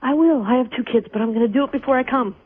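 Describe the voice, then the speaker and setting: A woman's voice: high voice, racing, husky. Eager woman caller on phone line. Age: 30s. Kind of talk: casual phone chat.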